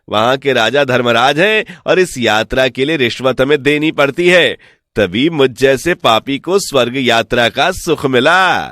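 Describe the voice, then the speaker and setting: A man voicing a sly, smooth-talking village swindler in an animated folk tale, persuasive, boastful and falsely respectful. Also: medium pitch, no echo, studio quality.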